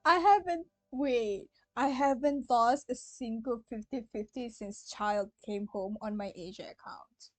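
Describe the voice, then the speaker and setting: A young woman streaming a game, with a high voice and an unhurried pace. She is excitable and giggly, talking casually to her viewers, recorded close and dry over faint music. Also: no echo. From Malaysia. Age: young adult.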